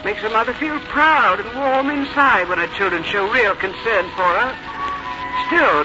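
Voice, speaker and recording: A woman's voice: mid-range voice, close to an everyday voice. An actress playing a proud, independent mother in her seventies, narrating a radio drama in a folksy, confiding manner. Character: proud, wry, independent seventy-three-year-old mother. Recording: muffled old radio broadcast, music behind.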